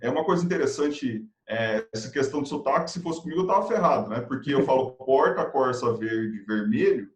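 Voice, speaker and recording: Male guest consultant from Brazil, motivational delivery, mid-range voice, echoey untreated room. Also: mid-range voice. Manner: energetic, motivational, talks in quick lists. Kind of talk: live video-call chat. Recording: roomy, echoey room sound.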